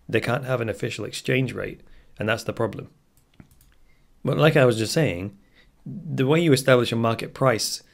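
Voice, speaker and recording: A man hosting a podcast, reading a news article aloud and commenting on it as he goes, thinking out loud and correcting himself. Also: mid-range voice, creaky. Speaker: podcast host.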